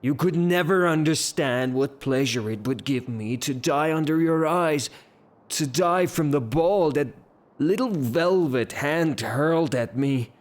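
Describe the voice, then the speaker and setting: An actor playing a blustering, lovestruck, hot-tempered gentleman landowner in an audio drama, with a halting, theatrical delivery. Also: fairly high pitch, close to an everyday voice. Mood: frantic, infatuated. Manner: theatrical, halting, repeats himself.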